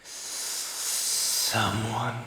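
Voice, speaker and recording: Very slow, menacing naga, drenched in reverb. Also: male voice, lowish voice, little pitch movement, pushed and bright, pitched above the performer's own voice, heavily acted. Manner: slow, drawn-out, taunting. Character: menacing male naga, predatory serpent creature of the woods. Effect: heavy reverb. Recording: long cavernous reverb, audible hiss.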